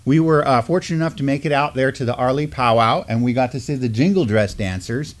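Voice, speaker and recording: A man's voice: medium pitch, fast. A middle-aged man with an American accent hosting a community news show in a casual, chatty style. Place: indoor studio, seated at a desk.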